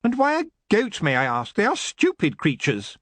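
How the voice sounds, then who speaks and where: A man's voice: high voice, wildly swooping pitch, moderate pace, a bit bright, no echo, pitched above the performer's own voice, heavily acted. A man doing a screeching, silky elf prince. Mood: contemptuous. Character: sneering cruel male elf prince.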